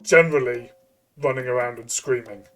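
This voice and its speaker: Mid-pitched man, halting conversational reviewer. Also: a bit bright. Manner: halting, repeats phrases while thinking aloud. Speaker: game reviewer and co-host. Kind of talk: casual review chat.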